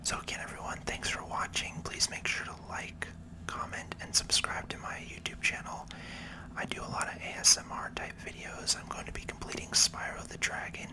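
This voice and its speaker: A person whispering relaxed, unhurried ASMR commentary while playing a video game. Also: low-quality recording. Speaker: video game player and channel host.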